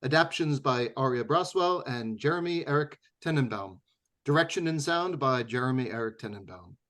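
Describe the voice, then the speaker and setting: A man's voice: medium pitch, expressive, moderate pace, fairly bright tone. A man hosting a radio drama show, reading scripted closing announcements in a formal, theatrical old-time radio manner.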